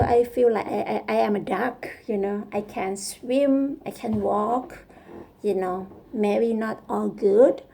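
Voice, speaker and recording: A woman with a Thai accent, speaking English as a second language in a casual practice vlog, halting and searching for words with frequent restarts. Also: fairly high pitch, creaky, dark tone, slight background noise. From Thailand. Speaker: vlogger.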